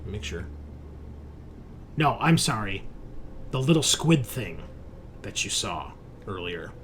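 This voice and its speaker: A man running a tabletop horror game as game master, narrating in a halting way as he thinks aloud and checks his notes. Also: on the low side, big pitch swings, fairly bright tone, slight background noise.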